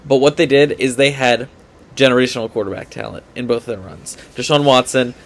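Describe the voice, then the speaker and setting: A man's voice: mid-range voice, fairly even intonation, brisk. Man debating sports, hedging and emphatic. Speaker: sports podcast co-host.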